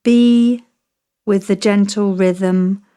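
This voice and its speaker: A woman teaching yoga nidra, guiding a relaxation meditation in a gentle, measured, instructional way with long pauses between phrases. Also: medium pitch, steady tone, clean tone.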